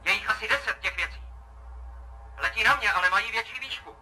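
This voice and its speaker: Sharp, high-voiced awestruck pilot. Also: male voice, creaky, soft background music, full character voice. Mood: wondering. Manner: halting, descriptive, searching for words. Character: awestruck military pilot reporting strange sights over radio. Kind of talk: 1970s radio drama acting.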